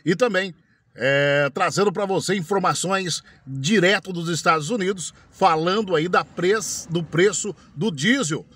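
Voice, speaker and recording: A man's voice: high voice, measured pace, fairly bright tone, husky. A man, hosting an urgent news report to a phone camera from inside a parked car. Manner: urgent, announcing tone. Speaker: news show host. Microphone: phone held at arm's length.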